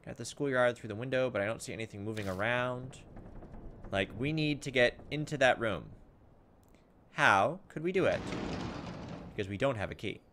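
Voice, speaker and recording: A man's voice: mid-range voice, moderate pace, pauses often, a bit bright. Man musing through gameplay, noisy recording. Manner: thinking aloud, hesitant musing. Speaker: let's play host. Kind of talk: casual gameplay commentary.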